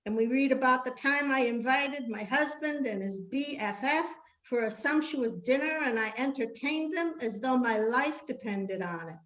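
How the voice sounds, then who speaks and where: A woman's voice: high-pitched, moderate pace, hardly any pauses, a bit bright. A woman playing a proud, party-loving biblical queen in a playful scripted comic monologue for amateur reader's theater. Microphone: video call. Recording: dull, roomy video-call audio.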